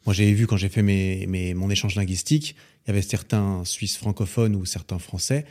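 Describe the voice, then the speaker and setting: A man's voice: sits low, brisk, darkish tone. A man hosting a casual podcast chat, asking questions with hesitant restarts. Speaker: podcast host.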